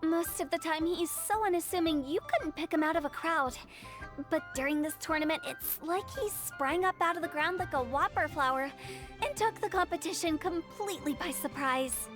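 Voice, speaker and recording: A playful, cocky young woman from a video game, talks in a very high voice. She is theatrical and teasing, showy with every line, over music. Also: moderate pace. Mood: confident, explaining. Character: playful, theatrical, cocky young female funeral director and poet.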